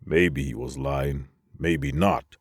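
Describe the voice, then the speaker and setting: A man voicing a gruff, fatalistic, unsentimental spaceman in a science fiction audiobook, blunt and resigned. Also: a bass voice, steady tone, takes it slowly, no room sound, studio quality, full character voice. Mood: grim.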